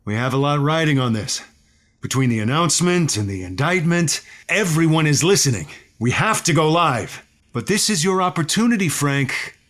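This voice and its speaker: A man performs a male radio network boss in an audio comedy. His fairly high voice leaps about in pitch as he glibly coaxes and pitches with crass cheer. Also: lively intonation, normal speed, a bit bright. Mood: persuasive. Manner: glib, persuasive, folksy wordplay. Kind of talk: comedic audio drama dialogue.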